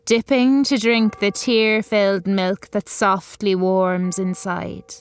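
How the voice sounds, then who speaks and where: A woman giving a solemn, measured, incantatory reading of a scripted horror story. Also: mid-range voice, level tone, smooth.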